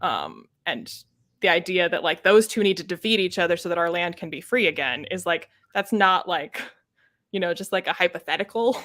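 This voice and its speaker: A woman from the Latvian diaspora joins a casual book chat as a guest. Her voice is bright but sits a little low, and she talks frankly with frequent laughter. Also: normal speed. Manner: animated, laughing often, frank.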